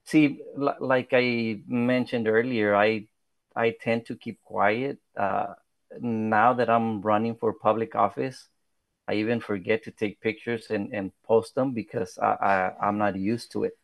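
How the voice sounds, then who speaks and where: Man, 30s, native Spanish speaker with a light Spanish accent, gravelly and a little low, dry close mic; modest, halting podcast guest. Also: fairly even intonation, no room sound. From South Texas. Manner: hesitant, many fillers and restarts; modest, soft-spoken. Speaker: political candidate. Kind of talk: podcast interview.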